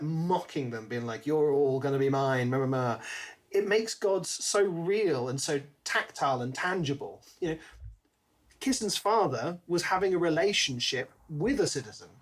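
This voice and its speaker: A man co-hosting a podcast, chatting casually about a book and acting out bits as he retells. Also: a little high. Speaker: podcast co-host.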